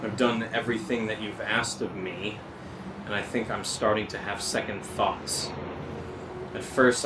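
A man's voice: lowish voice, lightly acted. Man acting hesitant investigator in noisy, echoey room. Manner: halting, hesitant. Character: hesitant, uneasy man investigating a creepy house. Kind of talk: amateur audio drama, naturalistic dialogue and letter reading. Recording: roomy echo, loud air-conditioner-like hum.

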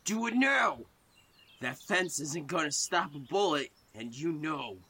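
An actor in an audio horror drama playing an armed, furious, distrustful survivor, threatening and accusing. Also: male voice, on the high side, animated, unhurried, a crowd in the background. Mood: menacing, furious. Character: armed, furious, distrustful male survivor guarding his neighbourhood.

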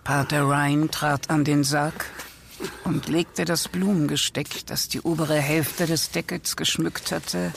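A man's voice: fairly high pitch, little pitch movement, takes it slowly, creaky, fairly bright tone, slight background noise. A man narrating a radio play in a scripted, dramatic storytelling style. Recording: faint music behind narration.

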